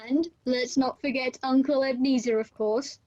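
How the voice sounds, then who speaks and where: A caring young family member acted by a woman talks with earnest sympathy in a radio play. The voice is high, and the recording is a little dull, as over a video call. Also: fairly even intonation, normal speed, warm tone. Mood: puzzled. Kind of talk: amateur radio play acting.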